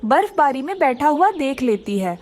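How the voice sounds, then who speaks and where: A woman's voice: high voice, sing-song, moderate pace, a little mellow. A woman giving scripted narration for a children's cartoon story.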